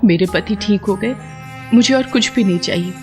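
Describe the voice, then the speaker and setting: A woman's voice: mid-range voice, normal speed, over music, natural voice. A woman voicing a devout, stubborn, tender young wife in a folktale, with an earnest, emotive delivery. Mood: devoted, resolute.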